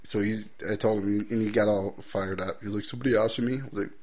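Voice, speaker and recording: A man's voice: on the low side, steady tone, fast, a little mellow. A man co-hosting a podcast with casual crude banter, rambling through anecdotes full of swearing and slang. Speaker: podcast co-host.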